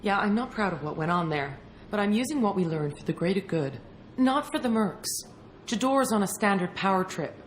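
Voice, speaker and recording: A woman playing a pragmatic, self-justifying alien scientist in a video game, speaking in a brisk, conversational, defensive way. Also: mid-range voice, expressive, a little hiss or hum, close to an everyday voice. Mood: relieved, defensive. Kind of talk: video game character acting.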